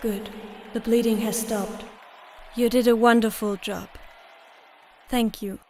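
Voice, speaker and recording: Woman acting a slow-spoken, proud monster trainer. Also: on the high side, flat delivery, a little hiss or hum. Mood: gentle. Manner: measured, quietly confident. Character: composed, proud female monster trainer, gentle yet menacing. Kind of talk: anime-style fan dub acting. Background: background music.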